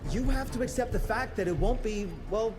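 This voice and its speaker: An actor voicing a scatterbrained, eccentric genius inventor in a video game, muttering and lapsing into technical lecturing. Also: male voice, high voice, brisk, noisy background, lightly acted. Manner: scatterbrained, jargon-heavy, muttering. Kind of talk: video game cutscene acting.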